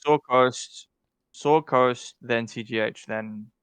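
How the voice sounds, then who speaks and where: A man's voice: mid-range voice, slow, a bit bright, clean tone, dry recording. A man co-hosting a casual podcast chat who thinks aloud with many fillers and restarts. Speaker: podcast co-host ranking game alliances.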